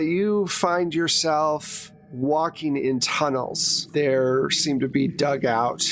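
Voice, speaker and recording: A man with a somewhat high voice serves as game master and host. He narrates the tabletop game in a conversational, self-deprecating way. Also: a little hiss or hum.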